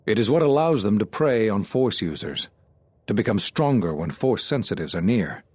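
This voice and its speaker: An actor playing a solemn, regretful Jedi master in a video game, speaking in a measured, sombre and earnest way. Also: male voice, lowish voice, expressive, a little mellow, breathy edge, no echo. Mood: troubled. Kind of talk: dramatic video game dialogue.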